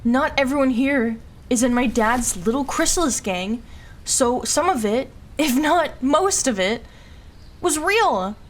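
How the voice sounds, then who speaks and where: A woman's voice: high-pitched, animated, measured pace. A woman playing a hurting, self-loathing but defiant young outcast daughter in an audio roleplay, speaking in an emotional, pleading way. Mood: hurt, despairing. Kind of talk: naturalistic audio roleplay acting.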